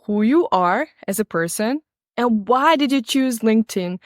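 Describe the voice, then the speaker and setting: A woman's voice: fairly high pitch, animated, moderate pace, dry recording, clean studio sound. A woman with a Croatian accent, a marketing coach hosting a podcast interview with an upbeat, promotional manner. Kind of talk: podcast interview, scripted intro.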